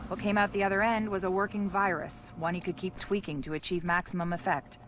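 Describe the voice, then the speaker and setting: An actress playing a blunt, analytical virus scientist in a video game, explaining clinically with dry, deadpan asides. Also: female voice, on the low side, fairly quick, noisy background, natural voice. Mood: clinical, matter-of-fact. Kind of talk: scripted video game briefing narration.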